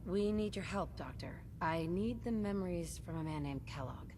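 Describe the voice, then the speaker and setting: A woman's voice: animated, moderate pace, lightly acted. A woman playing an earnest, determined young survivor in a video game, with a direct, pleading manner. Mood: pleading, urgent. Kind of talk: dramatic video game acting. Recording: noisy game audio with low rumble.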